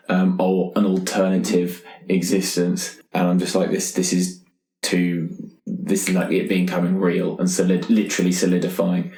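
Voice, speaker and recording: Deep-voiced man playing a roleplaying game, echoey room. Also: darkish tone. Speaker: player in a tabletop roleplaying game. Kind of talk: casual, thinking aloud about his character.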